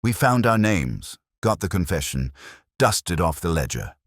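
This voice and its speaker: A man voicing a calm, firm police sergeant in a mystery story. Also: low voice, unhurried, husky, no room sound, clean studio sound, pitched above the performer's own voice, heavily acted. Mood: musing.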